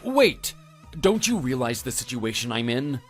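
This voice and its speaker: A man's voice: medium pitch, big pitch swings, a little slow, soft background music. A man playing a regretful, pleading husband in a radio drama, speaking earnestly. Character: pleading, regretful husband begging his wife back. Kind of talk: dramatized story dialogue.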